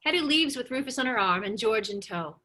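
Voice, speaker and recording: A woman's voice: fairly high pitch, animated, brisk, pushed and bright. A woman reading screenplay stage directions as scripted narration.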